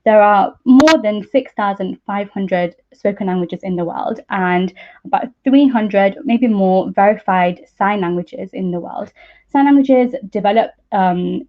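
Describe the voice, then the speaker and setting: Thin, phone-like online call audio carries a young woman. Her pitch sits in the middle for a woman. She explains science informally over slides, as a student presenter who hesitates a little as she goes. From East Midlands, England. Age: young adult. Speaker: PhD student speaker. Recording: muffled narrow-band online call audio.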